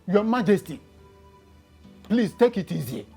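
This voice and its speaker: An actor playing a royal courtier, soothing and placating. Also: male voice, sits high, expressive, unhurried, gravelly, warm tone, slightly rough, soft background music, heavily acted.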